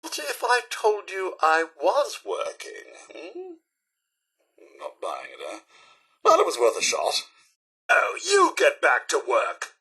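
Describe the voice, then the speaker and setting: A man playing a fussy, posh and polite robot butler in a video game, with a British accent, raspy voice and formal, florid delivery. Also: on the high side, huge pitch swings, slow, sharp tone, exaggerated voice. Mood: weary, grudging. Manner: formal, florid, servile diction with dry wit. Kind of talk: theatrical video game character acting.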